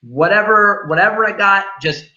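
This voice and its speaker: A man's voice: high voice, moderate pace, bright, forceful tone, muffled recording. A man talking casually about films in an emphatic, colloquial, slangy way, like a show host among friends. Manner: emphatic, colloquial, swears freely. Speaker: film review show host. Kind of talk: casual, slangy film chat.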